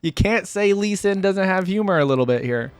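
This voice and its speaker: A man hosting a reaction video with casual, excited commentary. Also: sits high, brisk, faint music behind.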